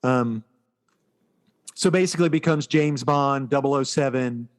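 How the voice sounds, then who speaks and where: A man co-hosting a podcast, chatting casually about movies in a halting, storytelling way and often quoting dialogue. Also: mid-range voice. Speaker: podcast co-host.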